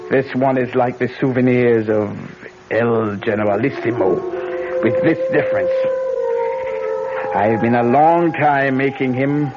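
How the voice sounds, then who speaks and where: An actor playing a fierce, vengeful older voodoo practitioner in an old-time radio drama, speaking in a deliberate, incantatory way on a noisy recording. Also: mid-range voice, highs cut off, close to an everyday voice.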